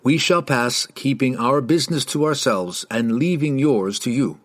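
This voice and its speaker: Man playing a calm, measured dark elf. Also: medium pitch, natural voice. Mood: calm, conciliatory. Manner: formal, steady, diplomatic. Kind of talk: fantasy audiobook character voice.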